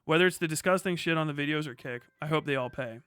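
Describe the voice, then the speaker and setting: A man's voice: fairly high pitch, fast, fairly bright tone, clean tone, no echo. A man doing live reaction commentary as a streamer, blunt, outspoken and free with swearing. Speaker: streamer reacting to a news video. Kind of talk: live reaction commentary with read-out passages and rants.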